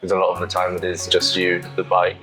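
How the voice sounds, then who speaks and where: Deep-voiced British man, even and thoughtful. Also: fairly quick, faint music behind, poor audio. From United Kingdom, London. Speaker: interview guest, touring cyclist. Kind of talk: reflective interview conversation.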